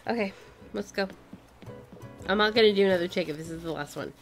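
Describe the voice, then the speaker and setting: A woman chats through her game stream with playful drama, pleading and protesting in a casual way. Her voice is getting worn out from hours of talking. Clear game music plays under her. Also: mid-range voice, fast talker. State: losing her voice. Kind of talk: casual gameplay commentary.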